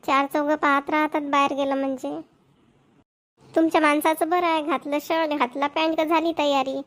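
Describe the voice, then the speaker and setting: A woman's voice: sits high, little pitch movement, moderate pace, natural voice. A woman voicing a chatty, insistent young wife in a Marathi cartoon, with a Maharashtrian accent. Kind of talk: cartoon voice acting.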